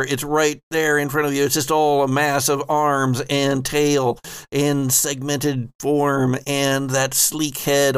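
A man running a tabletop role-playing game as game master, improvising vivid descriptive narration with thoughtful pauses. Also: medium pitch, clear, resonant tone, no room sound, clean studio sound.

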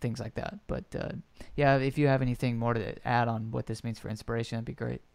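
A man's voice: medium pitch, little pitch movement, a little fast, creaky, smooth. A man hosting a podcast, speaking carefully and hesitantly, searching for words. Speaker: podcast host. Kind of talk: casual chat.

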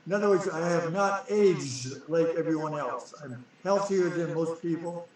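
A man's voice: a little high, normal speed, very echoey, far from the mic, slight background noise, rough recording. A man in his nineties, a guest being interviewed, talking insistently and repeating himself, often stumbling over his words. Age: 90s. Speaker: guest, sprout grower inventor. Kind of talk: casual interview.

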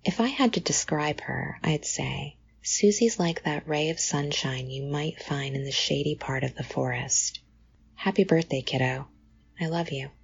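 A middle-aged woman hosting a podcast, reading a scripted introduction in an earnest, measured way. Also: low voice, vocal fry, husky, dull audio. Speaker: podcast host.

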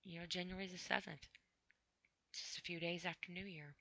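A tired woman podcasting alone, rambling in a halting, apologetic way with many fillers after crying earlier. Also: fairly low pitch, fairly even intonation, vocal fry, sharp tone, no echo. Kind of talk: casual rambling monologue. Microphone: computer mic with headphones on.

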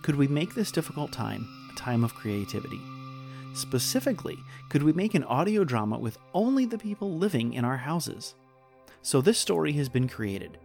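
A man with a gravelly voice delivering a scripted outro as the creator of an audio drama. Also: mid-range voice, a little mellow. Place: recorded at home. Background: music.

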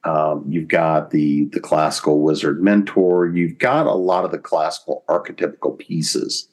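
A man with a deep, low voice speaks as an interview guest. He explains things conversationally and deliberately, pausing mid-sentence as he shapes each thought. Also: steady tone. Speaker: podcast guest.

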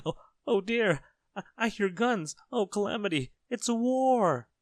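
A tiny, hysterically timid little fellow from a children's storybook. His voice shoots up very high and swings about in fright. He stammers and wails in melodramatic exclamations, recorded dry and close. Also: animated, measured pace, no room sound. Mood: panicked. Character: tiny timid male panicker, hysterically fearful, easily terrified.

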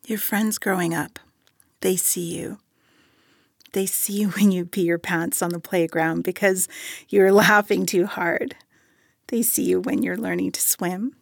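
A woman's voice: fairly low pitch, steady tone, unhurried, no room sound, clean studio sound. A woman acting in an audio drama as a tender, nostalgic young woman speaking intimately of childhood friendship. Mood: tender, reflective. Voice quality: husky, breathy, creaky.

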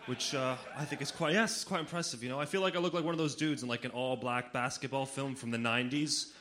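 A man with a mixed international accent doing animated, conversational stand-up comedy on stage. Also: medium pitch, fast, a crowd in the background. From Austria, America and Slovenia. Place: live comedy club stage.

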